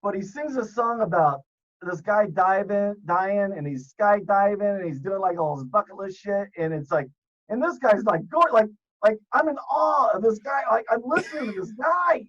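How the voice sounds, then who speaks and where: Animated jokey man, lo-fi audio. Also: normal speed. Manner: animated, jokey, swears, squeaks up when excited. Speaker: podcast guest. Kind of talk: casual storytelling.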